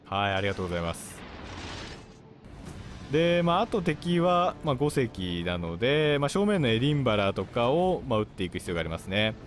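A man hosts a gameplay video with a mid-pitched voice that rises and falls freely. He explains things politely, phrase by phrase, with clear game music and artillery behind him. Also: very animated, fairly quick. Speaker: gaming video host. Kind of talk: gameplay commentary. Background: game music and artillery fire.

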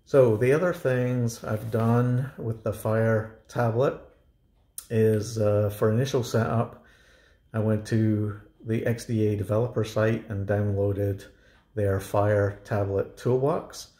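A man explains a home tech project informally. His voice sits on the low side with a lot of creaky fry. He talks in halting, hesitant phrases, like an amateur tutorial presenter. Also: fairly even intonation, warm tone.

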